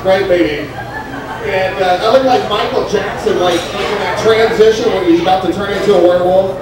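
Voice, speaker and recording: Young male open-mic comic, high-pitched, halting and rambling, recorded from across a very echoey restaurant over crowd noise. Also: fairly even intonation, far from the mic. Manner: rambling, halting delivery with many fillers and restarts; acts out a stoned, scatterbrained waiter. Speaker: stand-up comedian. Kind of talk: open-mic stand-up comedy. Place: Mexican restaurant with a live crowd.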